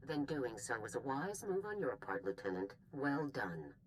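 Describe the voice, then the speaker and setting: A commanding woman general in a sci-fi game. Her voice is extremely low yet lively in intonation, authoritative and businesslike, with faint noise behind it. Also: moderate pace. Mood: brisk, official. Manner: clipped, authoritative. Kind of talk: video game voice acting.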